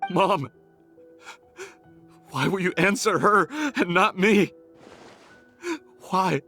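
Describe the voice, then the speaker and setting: A man's voice: high-pitched, very slow, bright, forceful tone, soft background music, full character voice. An actor playing a desperate young man in a game, stammering and sobbing as he pleads with his dying mother. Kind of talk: game cutscene voice acting.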